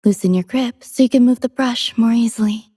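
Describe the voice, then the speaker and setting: Gentle, cultured young game heroine: devoted, soft-spoken, slightly high voice, composed delivery, dry studio sound. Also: female voice, brisk, husky, no room sound. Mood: composed, instructive. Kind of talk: scripted game character voice lines.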